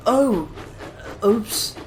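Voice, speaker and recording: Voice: mid-range voice, lively intonation, very slow, noisy background. A performer voicing an eager, fussy male tank engine in a children's audio drama, with a hesitant, earnest manner. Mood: sheepish.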